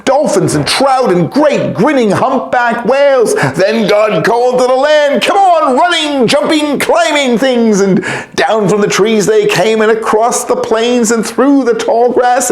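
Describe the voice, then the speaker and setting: A man's voice: high voice, animated, a little slow. A man reading a story aloud to children in a theatrical, playful, emphatic way, inviting them to join in.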